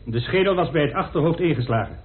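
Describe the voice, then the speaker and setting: Tinny, highish-voiced expert doctor, acted by a man. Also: animated, fast talker, lightly acted. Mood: assured, clinical. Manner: precise, assured, clinical. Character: precise, assured male doctor as expert witness. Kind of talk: radio drama acting. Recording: tinny band-limited old radio recording.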